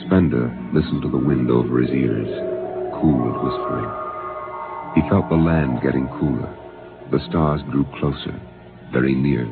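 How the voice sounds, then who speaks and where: Extremely deep man, measured dramatic narration, narrow-band old radio, music underneath. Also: creaky. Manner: measured, atmospheric storytelling.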